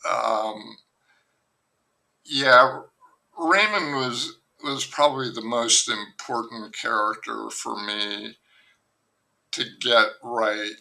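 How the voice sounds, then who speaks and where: An older man, a novelist, talking through his book in a relaxed, thoughtful interview, pausing often and restarting sentences. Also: medium pitch, rough recording. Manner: unhurried, thoughtful, with frequent fillers and restarts. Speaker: novelist guest. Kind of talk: reflective conversational interview.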